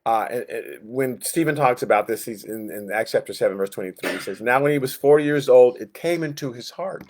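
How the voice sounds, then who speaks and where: A man teaching Sunday school in a conversational, halting way, reading verses and explaining them, often backing up to rephrase. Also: mid-range voice, darkish tone, slight background noise. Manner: conversational, halting, backtracks and rephrases, acts out inner thoughts. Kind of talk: informal Bible lesson, reading verses and explaining.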